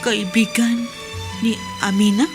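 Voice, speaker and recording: A woman's voice: medium pitch, level tone, slow pace, close to an everyday voice. An actress playing a curious, worried young woman in a radio drama, asking questions. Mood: concerned. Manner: inquisitive, worried. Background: background music.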